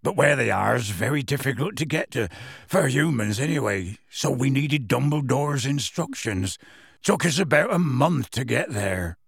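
A huge, gruff half-giant gamekeeper tells his tale. The voice is husky and rough, swinging freely in pitch, with folksy, unhurried phrasing. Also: expressive, dry recording, studio quality. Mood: cagey. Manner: folksy, ungrammatical, unhurried storytelling. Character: huge gruff half-giant gamekeeper, rustic, warm-hearted, plain-spoken. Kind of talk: audiobook character voice.

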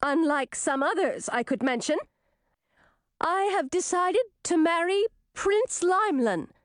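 A vain and jealous young princess in a fairy tale, performed by a woman reader. Her voice sits very high and leaps about with spluttering, theatrical indignation. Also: expressive, a little slow, no echo, studio quality. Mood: haughty.

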